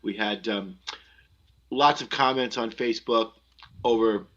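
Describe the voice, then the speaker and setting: A man hosting an online show, speaking casually and haltingly, often repeating himself. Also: lowish voice, a bit bright. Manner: halting, repetitive delivery. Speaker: host of an online concert. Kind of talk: casual unscripted thanks to a performer. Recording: dull, lo-fi online stream audio.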